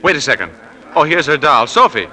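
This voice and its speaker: A man's voice: on the high side, brisk, full character voice. An actor playing an eager, generous young man in a 1940s radio drama. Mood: excited, giddy. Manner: eager, excited. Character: exuberant, generous young man, devoted son and sweetheart. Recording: old radio broadcast, street crowd behind.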